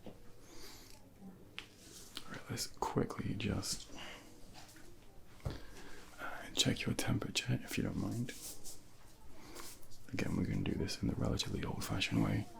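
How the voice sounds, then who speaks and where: A man role-playing a junior doctor in a soft-spoken ASMR exam, half whispering in a gentle, hesitant, reassuring bedside manner on a close binaural microphone. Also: on the low side, huge pitch swings, a little slow, gravelly, pauses often. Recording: close binaural ASMR, faint background noise.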